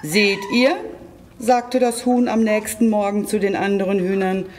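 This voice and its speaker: A storyteller reading a children's tale aloud expressively and deliberately, addressing young listeners directly. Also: mid-range voice, fairly even intonation, warm tone, a little hiss or hum.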